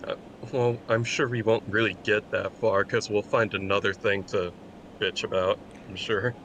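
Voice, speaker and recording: A man's voice: lowish voice, fairly even intonation, moderate pace, a crowd in the background. A man bantering casually as a co-commentator in a group chat.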